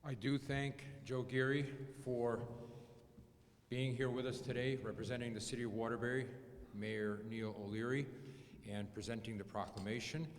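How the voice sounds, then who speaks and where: A man emceeing a ceremony and introducing speakers in a relaxed way. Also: mid-range voice, moderate pace. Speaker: event emcee. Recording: hall reverb, faint noise.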